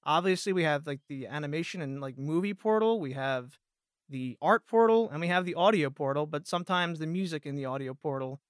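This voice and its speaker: A man's voice: fairly high pitch, brisk, smooth, no room sound, studio quality. A man talking casually as a guest in an interview, explaining things in a rambling way. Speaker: voice actor guest.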